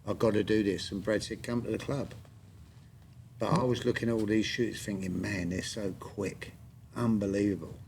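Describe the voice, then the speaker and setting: A man's voice: on the low side, fairly even intonation, normal speed, darkish tone, slight background noise. A man in his sixties hosting a podcast, chatting casually with friends and often stammering into his sentences. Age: 60s. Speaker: podcast host. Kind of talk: casual chat.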